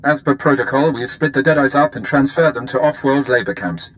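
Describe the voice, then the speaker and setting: A stern, smug prison captain acted by a man with a mid-pitched voice and faint noise behind him. He reports formally and officiously, as in a sci-fi game cutscene. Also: a little fast, fairly bright tone, natural voice, low-quality recording.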